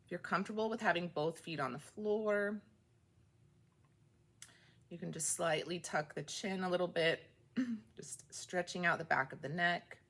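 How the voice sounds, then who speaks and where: Female meditation guide, unhurried and calm, deep voice with vocal fry. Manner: slow, calm counting of breaths. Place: meeting room of a recovery group.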